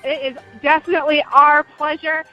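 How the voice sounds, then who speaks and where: A woman hosting a radio show, energetic and hyping up her guest as she asks questions. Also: high-pitched, moderate pace, lo-fi sound, soft background music.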